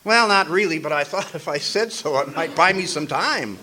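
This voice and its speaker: An actor playing a wisecracking, doleful private eye in a noir parody radio drama, with a wry, hammy delivery. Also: male voice, fairly high pitch, expressive, moderate pace, bright, forceful tone. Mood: panicky protest.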